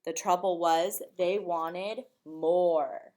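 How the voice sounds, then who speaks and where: A woman reading a rhyming picture book aloud expressively, in a measured sing-song rhythm. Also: fairly low pitch, fairly even intonation, a little mellow.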